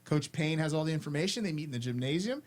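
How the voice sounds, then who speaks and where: A man's voice: on the high side, lively intonation, fast, a little mellow. A man hosting a school's afternoon announcements, friendly and instructive, repeating his points to students.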